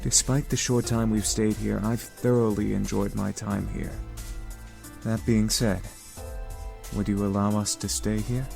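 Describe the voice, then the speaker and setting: A man voicing a polite, gentle young man in a visual novel, speaking softly and courteously. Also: fairly low pitch, flat delivery, unhurried, vocal fry, soft background music. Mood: formal. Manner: courteous, soft-spoken.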